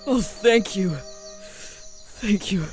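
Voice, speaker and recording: A woman's voice: medium pitch, slow, darkish tone, soft background music, natural voice. A woman playing a worried, loving young father in a fantasy audio drama, earnest and hesitant, with sighs. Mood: relieved.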